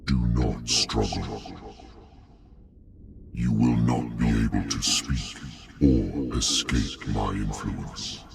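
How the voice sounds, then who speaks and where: A man voicing an ancient godlike monster in a video game, solemn, grandiose and commanding, with a cavernous gravelly voice. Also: rumbling low, slow, music in the background, exaggerated voice. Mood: ominous, commanding. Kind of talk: video game villain monologue.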